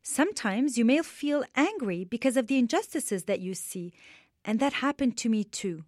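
A woman from Lebanon, speaking gently to children and reading them a storybook, slow and measured. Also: a little high, animated, dry recording, studio quality.

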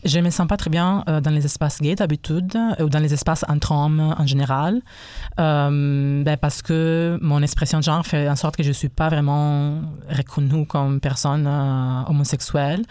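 A man's voice: fairly high pitch, little pitch movement, normal speed, mellow, clean tone, dry recording. A young man, chatting casually on radio with frequent hesitations and fillers. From Italy. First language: Italian. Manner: hesitant, many fillers, mixes in English phrases. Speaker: guest co-host.

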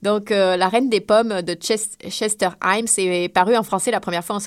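A woman co-hosting a radio book show, presenting a classic crime novel in casual chat, lively and teasing with some fillers. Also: medium pitch, moderate pace, clean tone.

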